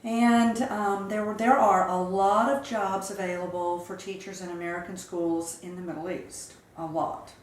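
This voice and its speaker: Woman in her 50s from south carolina telling her life story, kinda low voice, measured and thoughtful, roomy echo and faint hiss. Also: fairly even intonation. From Charleston, South Carolina. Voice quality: measured, reflective, with occasional fillers. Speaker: interview guest. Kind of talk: recounting life story in an interview.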